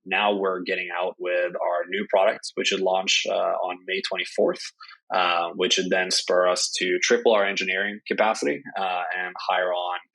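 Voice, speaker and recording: A young man from Norway speaks as a company founder in an interview. His voice sits low with a husky, slightly breathy edge. He fills his answers with frequent hesitant "uh"s. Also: level tone, moderate pace, bright, forceful tone, poor audio. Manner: hesitant, many "uh" fillers. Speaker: startup CEO.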